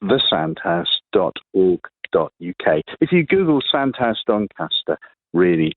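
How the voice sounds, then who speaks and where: A man with a fairly low voice speaks as a guest in a radio interview. The sound is narrow and phone-like, with little room around it. He is thoughtful and halting, often reworking a sentence midway. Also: very animated, no room sound. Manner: reflective, halting, often rephrasing mid-sentence.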